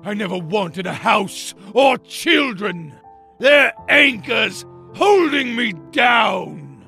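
Man acting furious high-pitched frustrated father. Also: sing-song, slow pace, gravelly, bright, forceful tone, faint music behind, heavily acted. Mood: bitter fury. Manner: explosive, ranting. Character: volatile, bitter, frustrated father and failed writer. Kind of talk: melodramatic comic dub acting.

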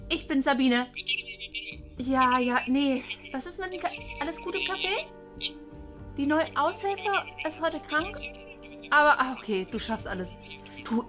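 An exasperated, high-voiced sitcom mom. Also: female voice, bright, forceful tone. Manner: exaggerated, exasperated, halting. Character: flustered, indignant mother of two, quick to scold. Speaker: actor in a family web series. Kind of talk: improvised family sketch acting. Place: bright furnished rooms indoors.

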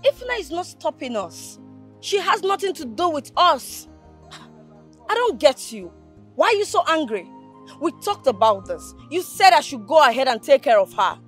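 A woman's voice: high-pitched, big pitch swings, normal speed, a bit bright, faint music behind. An actress with a Nigerian accent playing a protective, caring young woman, earnest and pleading in a film drama. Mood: indignant.

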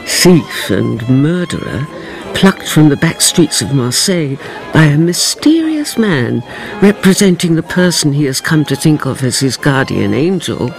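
A woman's voice: sits low, sing-song, a little slow, vocal fry, faint music behind, heavily acted, poor audio. A woman playing a sly, knowing narrator in a radio drama, theatrically savoring each literary phrase.

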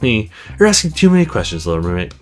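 A let's player plays a brash young woman in a visual novel. She teases and bosses people around with exaggerated swings of pitch, music playing softly behind. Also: big pitch swings, quick pace, lightly acted. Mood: teasing, bossy. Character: brash, teasing young party-loving woman. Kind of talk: visual novel read aloud.

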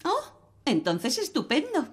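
A woman's voice: high voice, wildly swooping pitch, measured pace, exaggerated voice. A woman voicing a strict, prim fairy nanny in a children's cartoon, theatrical. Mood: stern, grudging. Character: strict, fussy adult female fairy nanny. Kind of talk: animated children's cartoon dub.

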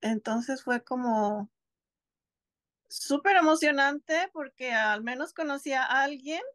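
Mexican mom on a video call at home, kinda high voice, hesitant, keeps restarting, dry sound. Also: female voice, slow, fairly bright tone, dry recording. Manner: hesitant, restarts words, thoughtful. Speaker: guest, homeschooling mother. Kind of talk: casual interview conversation. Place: home, seated on a couch.

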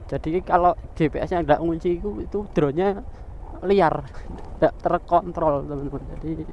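A man's voice: fairly high pitch, moderate pace, lo-fi sound. A man from Indonesia, chatting casually and jokingly as he narrates a ride as a motovlogger. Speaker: motovlogger, channel host. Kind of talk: casual motovlog commentary while riding. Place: outdoors, riding a motorbike on village roads. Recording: outdoor vehicle and engine noise.

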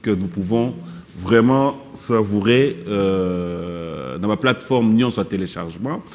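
A middle-aged man hosting a music talk show in a TV studio, lively and mixing French with Lingala, on a lapel mic. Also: fairly low pitch, takes it slowly, long reverb tail. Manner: animated, switching French and Lingala. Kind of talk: casual studio interview. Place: TV studio set.

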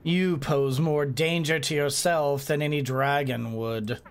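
A man voicing a snarky male mage in a video game, speaking lower than his own voice with sneering sarcasm. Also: steady tone, measured pace, clear, resonant tone. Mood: snide.

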